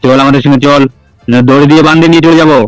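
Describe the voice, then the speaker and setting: A blustering village tough from a comedy cartoon, played by a man. His voice sits a little high for a man, and he taunts and swaggers with exclamations. Faint music plays under him. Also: steady tone, rapid-fire, smooth, no room sound, natural voice, low-quality recording. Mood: menacing. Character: blustering, bullying village tough man, boastful and foul-mouthed.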